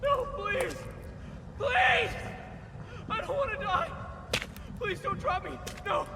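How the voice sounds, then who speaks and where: A screaming, terrified victim. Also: male voice, very high voice, slow pace. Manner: desperate screaming and pleading. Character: terrified male victim being carried off. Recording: loud background noise.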